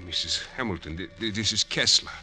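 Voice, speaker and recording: An actor playing a stricken, gentle chemist in a golden age radio drama, with a halting, grave, restrained delivery. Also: male voice, low voice, expressive, faint music behind, heavily acted. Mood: gentle, guarded. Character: stricken, gentle middle-aged Austrian chemist, secretive former soldier. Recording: old radio broadcast.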